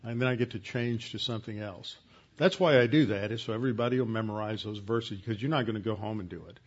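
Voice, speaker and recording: A man, a pastor leading a Bible class with announcements, recited verses and prayer, talking in a halting way with many fillers and restarts. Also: on the low side. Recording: dull lo-fi sound.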